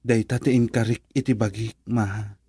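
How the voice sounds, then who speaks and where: A man's voice: on the low side, steady tone, normal speed, warm tone, slightly rough, no room sound. A male actor with a Filipino accent playing an earnest young man in love, hesitant and pleading, in a romantic radio drama. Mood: pleading, worried.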